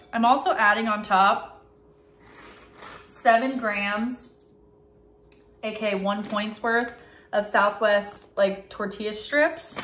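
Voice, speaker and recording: A woman's voice: medium pitch, a bit bright, a little hiss or hum. A young woman chatting casually to viewers in an upbeat vlog, talking while she eats in her home kitchen. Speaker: vlogger.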